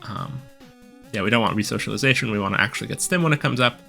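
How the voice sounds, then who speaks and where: A man calmly explaining a game strategy while he plays, a little hoarse from a cold, with many filler ums. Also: medium pitch, fast talker, faint music behind. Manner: relaxed, explanatory, frequent ums. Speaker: gameplay guide commentator. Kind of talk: casual gameplay commentary.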